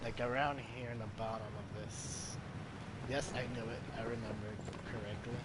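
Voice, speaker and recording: A man's voice: medium pitch, steady tone, normal speed, heavy background noise. A man giving casual playthrough commentary, hesitant, thinking aloud and repeating himself. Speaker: gaming video host. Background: game music.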